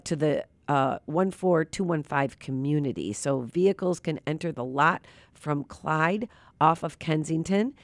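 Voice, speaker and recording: A radio host speaks through a nasal, sleepy-sounding voice at a middling pitch, earnest and meandering, with plenty of fillers. The studio sound is close and dry, without room echo. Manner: earnest, rambling, with many fillers. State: tired from little sleep. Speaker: radio talk show host.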